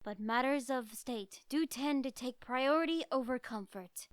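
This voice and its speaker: A woman voicing a dignified, gentle pony princess with formal, stately phrasing in a fan fiction reading. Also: high-pitched, unhurried, smooth, no room sound, clean studio sound. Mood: weary, sympathetic. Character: dignified, gentle, regal dark alicorn princess mare.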